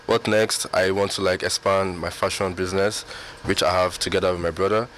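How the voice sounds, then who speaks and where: A man's voice: sits low, flat delivery, fairly quick, fairly bright tone, slight background noise, rough recording. A man speaking as a radio interview guest, laid-back and loose, his sentences full of fillers. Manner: laid-back, many "like" and "you know" fillers. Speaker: reality show ex-contestant guest. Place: radio studio.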